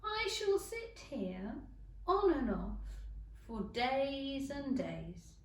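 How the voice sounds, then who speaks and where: A woman's voice: high voice, very animated, extremely slow, pitched above the performer's own voice, full character voice. A woman voicing a male frog footman from a storybook, solemn, contrary and oblivious. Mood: blankly unbothered. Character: frog footman, male, solemn, obtuse, unbothered servant creature. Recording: roomy echo, distant mic.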